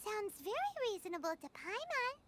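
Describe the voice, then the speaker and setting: Squeaky, chirpy little fairy girl. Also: very animated, moderate pace, very noisy. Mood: curious. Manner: chirpy, childlike, refers to herself by name. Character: tiny, perky floating fairy companion girl, childlike. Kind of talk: video game character acting. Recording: loud game music behind. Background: game music.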